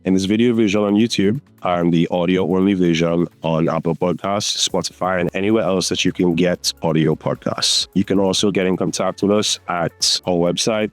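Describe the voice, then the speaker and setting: Deep-voiced podcast host. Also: male voice, no echo. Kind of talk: podcast intro and interview questions.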